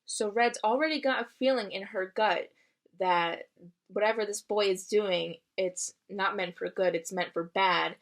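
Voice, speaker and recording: A woman's voice: mid-range voice, unhurried. Woman reading a storybook aloud, teacherly. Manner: teacherly, explaining the story simply. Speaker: read-aloud reader. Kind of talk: expressive storybook read-aloud with explanatory asides.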